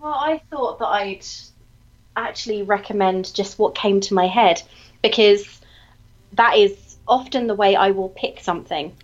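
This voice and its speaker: A British woman co-hosting a casual chat, animated and emphatic, with a slight lingering cough. Her pitch is middling, and the call audio sounds dull and lo-fi. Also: measured pace. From United Kingdom. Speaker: podcast co-host.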